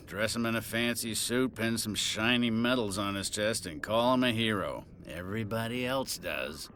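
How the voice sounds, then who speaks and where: A man's voice: fairly low pitch, bright, forceful tone, slight background noise. An actor playing a disgraced middle-aged policeman in a video game, boozy, sardonic and sneering. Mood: mocking.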